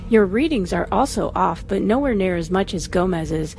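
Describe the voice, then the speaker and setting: A woman playing a brisk, authoritative starship doctor in a science fiction audio drama, clipped and clinical in manner. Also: mid-range voice, lively intonation, vocal fry, darkish tone, slight background noise. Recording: music behind the dialogue.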